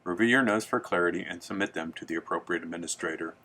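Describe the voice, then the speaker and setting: Low, bright-voiced male training narrator. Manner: measured, formal, instructional. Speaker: training presenter. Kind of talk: scripted instructional narration.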